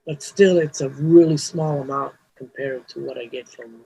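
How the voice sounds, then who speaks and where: A man's voice: mid-range voice, steady tone, normal speed, vocal fry, mellow, slight background noise, rough recording. A man, a Hebrew speaker, talking casually as an interview guest, rambling with restarts and frequent "you know" fillers. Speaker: mastering engineer guest.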